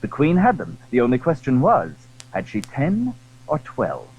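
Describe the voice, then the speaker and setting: A man narrating a classic adventure story in a dramatic, scripted style, with a husky voice. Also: medium pitch, huge pitch swings, a little slow, darkish tone, dull audio.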